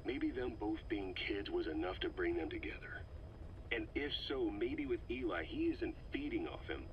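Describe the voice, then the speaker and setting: A man playing a grave intelligence officer in a video game, giving a deliberate, brooding briefing with dramatic pauses. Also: lowish voice, natural voice. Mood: grave, analytical. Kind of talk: scripted briefing on a cassette tape, video game acting. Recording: muffled cassette tape with steady noise.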